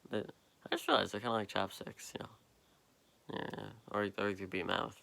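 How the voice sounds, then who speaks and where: Tired young man with a deep voice. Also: fairly even intonation, creaky, bright, forceful tone. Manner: tired, halting, lots of fillers and trailing off. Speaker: vlogger. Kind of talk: rambling late-night monologue. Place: quiet basement at night. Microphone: phone propped up nearby.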